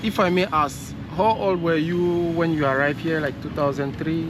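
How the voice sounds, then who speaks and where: High-pitched man hosting, noisy outdoor recording. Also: fairly even intonation, normal speed. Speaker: host and interviewer. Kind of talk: casual vlog interview. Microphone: handheld camera held by him. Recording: loud outdoor traffic noise.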